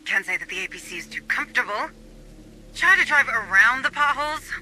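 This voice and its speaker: A woman playing a wary, composed administrator on a military mission in a video game, speaking in a measured, questioning way. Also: fairly high pitch, lively intonation, sharp tone, a little hiss or hum, rough recording. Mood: wry. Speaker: deputy administrator. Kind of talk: video game cutscene acting.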